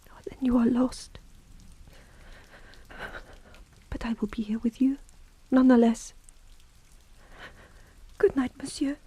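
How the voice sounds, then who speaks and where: An actress playing an anxious, devoted French maidservant in an audio horror drama, deferential, earnest and hesitant. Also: female voice, high-pitched, fairly even intonation, moderate pace, mellow, breathy edge. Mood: fearful, resigned.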